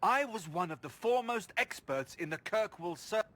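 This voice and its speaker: A man's voice: high voice, expressive, normal speed, a bit bright. An actor playing a defensive, condescending male mage who gets flustered under pressure in a video game drama. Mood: defensive, alarmed. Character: flustered, self-important human male mage pleading his case.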